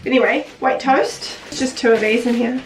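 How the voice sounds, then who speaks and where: Gravelly-voiced Kiwi woman reading items off. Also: on the high side, moderate pace. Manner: chatty, curious, reads items off one by one. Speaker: friend and guest. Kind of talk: casual chat, reading out grocery items. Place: at a friend's home. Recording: roomy, distant, noisy home recording.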